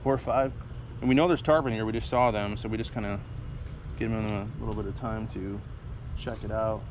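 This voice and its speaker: A middle-aged man chatting casually and colloquially to camera in a laid-back vlog style, outdoors on the water. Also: on the low side. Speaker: vlogger, kayak angler. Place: outdoors on a kayak on open water. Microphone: action camera at about arm's length. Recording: loud wind and water noise.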